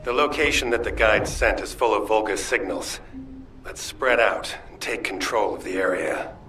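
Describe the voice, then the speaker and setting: A man voicing a driven professor who leads a combat squad in a video game, brisk and commanding. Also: on the low side. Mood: focused. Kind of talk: scripted game dialogue. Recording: slightly distant, loud music behind.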